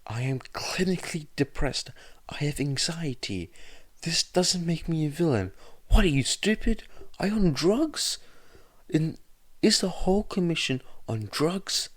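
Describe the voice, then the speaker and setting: A man voicing an anguished, furious teenage boy hero student who shouts bitter, sarcastic lines. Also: fairly high pitch, animated, measured pace, dry recording, voice pushed up.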